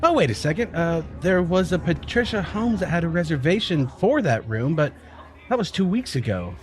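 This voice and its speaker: Man acting a high-voiced, awkward young desk clerk. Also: very animated. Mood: flustered, polite. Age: young adult. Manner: halting, stammering, polite. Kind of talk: audio drama acting.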